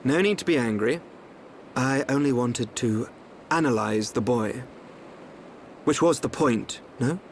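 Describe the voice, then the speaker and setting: An actor playing a calm, enigmatic young seer in a video game, speaking in a smooth, cryptic, unhurried way. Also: male voice, medium pitch, slight background noise, natural voice. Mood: cool, smug.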